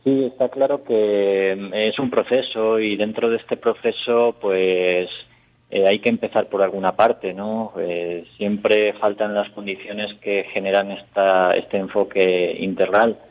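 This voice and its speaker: A man's voice: on the low side, fairly even intonation, a little slow. A man from Spain, an urban mobility expert, speaking by phone in a radio interview, explaining at length with many hesitations and fillers. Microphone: telephone. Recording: tinny phone line.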